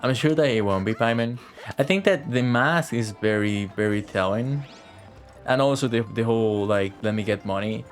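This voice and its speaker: A man's voice: medium pitch, normal speed, soft background music. A man live streaming a game, chatting informally, swearing and thinking aloud as he plays. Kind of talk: casual live playthrough commentary.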